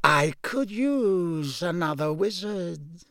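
Creepy high cold villain lord, breathy n hissy, slow and scornful, audiobook style, super dry sound. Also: male voice, expressive, dry recording. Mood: quietly menacing. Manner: imperious, slow, contemptuous. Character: cold, menacing, frail dark lord, male villain. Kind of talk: audiobook character acting.

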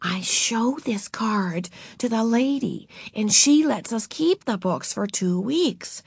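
A woman voicing an embarrassed, proper older sister, a young girl who pleads in a hushed voice. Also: mid-range voice, big pitch swings, slow, gravelly, husky, no room sound, pitched above the performer's own voice, exaggerated voice. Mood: pleading hush.

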